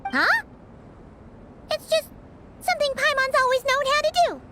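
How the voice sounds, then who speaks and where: A woman voicing a small, cheeky, excitable floating fairy girl in a video game, with a chirpy, squeaky delivery. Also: moderate pace, background noise. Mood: puzzled. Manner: chirpy, squeaky, refers to herself by name.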